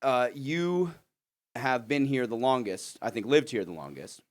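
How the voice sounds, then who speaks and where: Male podcast host, average pitch, relaxed conversational style with a bantering, teasing edge. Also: unhurried. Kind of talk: casual podcast chat.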